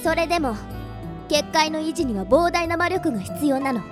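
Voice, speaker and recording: A haughty tsundere demon girl in an anime radio drama. The voice is high and very animated, snippy and proud, with music playing underneath. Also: moderate pace. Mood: haughty, serious. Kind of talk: Japanese anime-style radio drama acting.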